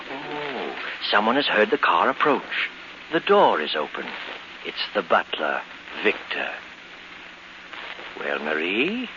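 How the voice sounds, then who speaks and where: A man playing a sinister, mocking, all-knowing narrator of dark tales in 1940s radio style, ominous and theatrical. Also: low-pitched, noisy background. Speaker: narrator and host of a mystery series. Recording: muffled 1940s radio broadcast under loud music.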